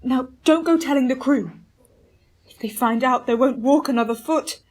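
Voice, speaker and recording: A woman's voice: high voice, moderate pace, darkish tone. A woman playing a firm, authoritative expedition captain in a radio play, both giving orders and reading out a log. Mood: measured, firm. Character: commanding expedition ship captain keeping a log.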